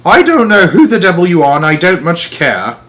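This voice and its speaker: A man voicing a furious, blustering English father in a storybook, spluttering and stammering as he shouts. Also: sits high, big pitch swings, a little fast, voice pushed up. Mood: angry, blustering.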